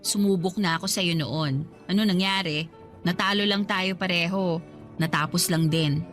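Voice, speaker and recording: A woman's voice: on the low side, moderate pace, a bit bright, natural voice. Actress as coy, teasing Filipino young woman. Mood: guarded, coy. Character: teasing, guarded young woman reunited with old sweetheart. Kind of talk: Tagalog radio drama dialogue. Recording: faint music bed.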